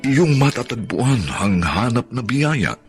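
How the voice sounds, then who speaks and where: Gravelly, dramatic male radio narrator. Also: medium pitch, big pitch swings, faint music behind. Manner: solemn, measured, theatrical.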